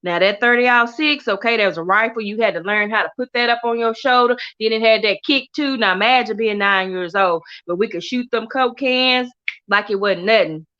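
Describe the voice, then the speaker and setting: Middle-aged woman, Texan Southern accent, bright forceful voice, chatty livestream host, dull lo-fi sound. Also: fairly high pitch, fairly quick. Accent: Southern US, Texas. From Texas. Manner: animated, laughs often, chatty and warm toward viewers. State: coughing from allergies. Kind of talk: casual livestream chat reading comments.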